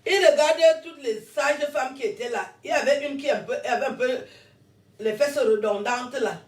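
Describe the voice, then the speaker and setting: A high-pitched woman in her fifties, born in France, rants in a combative, rambling way and slips laughs between her jabs. She sits well back from the mic, and her voice bounces around an echoey room. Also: moderate pace. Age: 50s. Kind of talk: heated monologue to camera. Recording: roomy echo, distant from mic.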